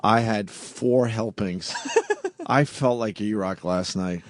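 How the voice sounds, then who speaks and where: Male radio host, low voice roughened by reflux, crude jokey banter. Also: takes it slowly, no room sound. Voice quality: voice deepened by acid reflux. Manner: crude, joking, laughs often. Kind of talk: casual morning radio banter. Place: radio studio.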